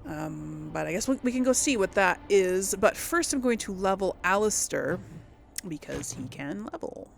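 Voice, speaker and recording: A woman's voice: medium pitch, lively intonation, normal speed, vocal fry, noisy background. A woman hosting a gaming video, giving casual commentary and thinking aloud informally as she plays.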